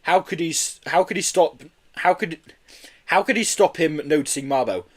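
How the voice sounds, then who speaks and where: A man narrating a children's book as an audiobook reader, in an expressive storytelling style. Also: a little high, moderate pace.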